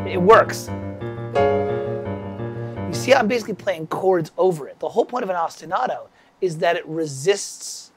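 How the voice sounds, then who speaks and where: A man's voice: fairly high pitch, slightly rough. Chatty man with a summer cold. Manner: rambling, chatty, talks to viewers by name. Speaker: music composition teacher. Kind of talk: casual livestream lesson.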